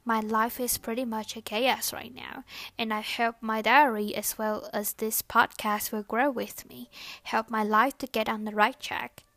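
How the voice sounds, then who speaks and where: A young woman whose first language is Chinese, hosting a personal podcast and reflecting on her life in a soft-spoken, earnest and lightly scripted way. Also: on the high side, level tone, a little slow. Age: young adult. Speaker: podcast host.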